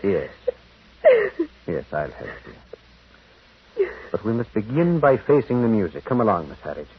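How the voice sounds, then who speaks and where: A muffled old radio broadcast features a gentle priest who solves crimes. His voice is fairly low with wide, expressive swings, and he picks his words with quiet, moralizing care, in 1940s radio style. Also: heavy background noise. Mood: grave compassion. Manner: hesitant, deliberate, quietly moralizing. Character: gentle, shrewd, compassionate priest detective. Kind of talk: 1940s American radio drama acting.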